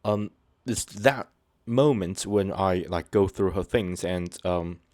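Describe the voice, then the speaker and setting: A man with a very deep voice speaks to his viewers without a script, stopping and restarting often and leaning on fillers. His delivery is earnest and sincere. The sound is dry and close, with no room around it. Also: darkish tone, no room sound. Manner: halting, hesitant, many fillers and restarts. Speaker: channel host. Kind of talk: unscripted personal talk to viewers.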